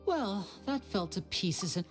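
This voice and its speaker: A woman's voice: mid-range voice, sing-song, slow, soft background music. A woman voicing a polite, earnest young man with courteous, formal manners in a video game. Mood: thoughtful. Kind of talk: video game character acting.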